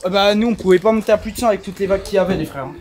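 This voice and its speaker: A brash man with a very high-pitched voice jokes with friends. His talk is casual and full of slang, with faint noise behind. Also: steady tone, rapid-fire, a little mellow. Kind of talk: casual banter among friends. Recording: faint noise, handheld vlog audio.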